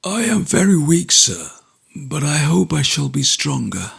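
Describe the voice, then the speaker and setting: A man voicing a gravely ill young man who speaks slowly, faintly and earnestly. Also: a little high, sing-song, pitched above the performer's own voice, heavily acted. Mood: weak, tender.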